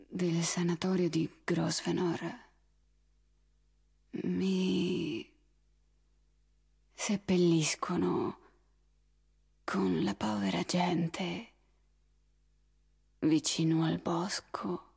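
A woman performing a poetic theatre monologue as a dead woman philosopher calmly recounting her own burial, in a halting, husky whisper. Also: on the low side, exaggerated voice. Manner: slow, halting, whispered delivery.

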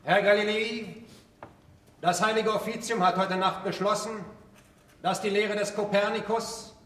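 A man acting a suave, authoritative cardinal in a German radio drama, speaking in formal, measured declamation. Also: high-pitched, fairly even intonation, fairly bright tone. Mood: solemn, official. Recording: roomy, distant radio play recording.

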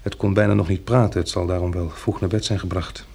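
An actor playing a calm, practical survivor in a radio drama, reasoning in a measured way and soothing like a tender protector. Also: male voice, deep voice, gravelly, husky, full character voice. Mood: grave, reasoning.

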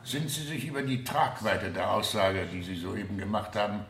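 An actor playing a stern, authoritative presiding judge in a courtroom radio drama, speaking formally and exactly. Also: male voice, fairly low pitch, normal speed, a crowd in the background, close to an everyday voice. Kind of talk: 1970 German courtroom radio drama acting. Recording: echoey room, 1970 radio production.

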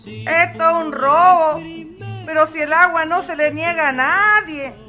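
A woman's voice: very high voice, measured pace, pushed and bright, soft background music, heavily acted. A woman voicing an indignant elderly Chilean wife in a radio drama, scolding and exclaiming. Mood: outraged. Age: older.

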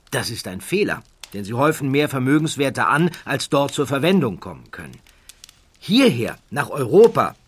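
A man's voice: mid-range voice, a bit bright, slightly rough, pitched above the performer's own voice. A man playing a suave, self-assured financier in an audiobook, explaining himself in an urbane, emphatic way.

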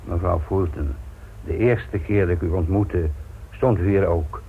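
A man's voice: sits low, unhurried. A man playing a persistent police inspector in a radio play, politely apologetic and probing, with hesitant phrasing. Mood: grave, persistent. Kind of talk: Dutch radio play acting. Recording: tinny narrow-band old radio recording, hiss.

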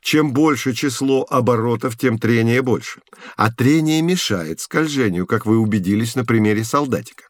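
A man's medium-pitched voice acting as a learned, patient mentor in an educational audio play. He explains in a measured, didactic manner. The recording is dry and close. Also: no echo, close to an everyday voice. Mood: explaining. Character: patient, learned adult science mentor Vladimir Sergeyevich. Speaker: teacher figure. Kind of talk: scripted educational audio drama.

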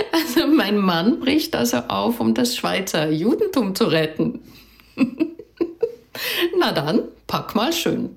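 An actress playing a rabbi's wry, sensible, outspoken wife in a naturalistic radio drama. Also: female voice, on the high side, big pitch swings, extremely slow, creaky, pauses often, heavily acted. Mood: wry, amused. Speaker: actor in a radio play.

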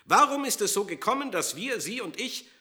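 A man's voice: high voice, big pitch swings, a little fast, sharp tone. A man explaining particle physics.

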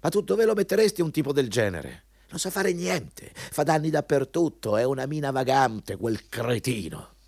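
An actor playing a cynical, vulgar, bullying company executive with a scornful, overbearing manner in a radio drama. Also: male voice, mid-range voice, sing-song, normal speed, creaky, darkish tone, no echo, studio quality. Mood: exasperated.

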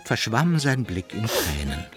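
An actor as the storyteller of a German radio play, giving mock-solemn scripted narration of a hero's misadventures. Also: male voice, on the low side, very animated, a little slow, music in the background. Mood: storytelling.

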